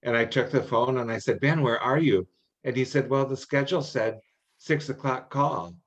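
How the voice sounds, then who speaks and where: An older man answering questions in an informal meeting, explaining rules in a halting, anecdotal way. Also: mid-range voice. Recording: dull, lo-fi online call audio.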